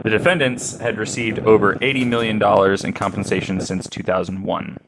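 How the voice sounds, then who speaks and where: A man's voice: fairly low pitch, little pitch movement, a little fast, noisy background. A man hosting a podcast, reading out a true-crime account with joking asides. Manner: joking, laughs often. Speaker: podcast host.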